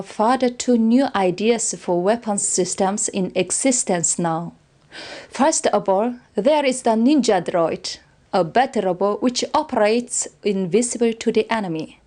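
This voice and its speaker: A woman's voice: medium pitch, darkish tone, lightly acted. A woman playing a loyal, formal weapons scientist of an empire, giving orderly briefings in a game cutscene.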